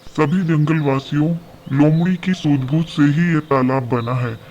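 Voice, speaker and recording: A man's voice: fairly high pitch, a little slow, darkish tone, close to an everyday voice. A man playing an authoritative lion king in a children's cartoon story, speaking formally in regal declarations. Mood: commanding, approving. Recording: dull lo-fi sound, faint background noise.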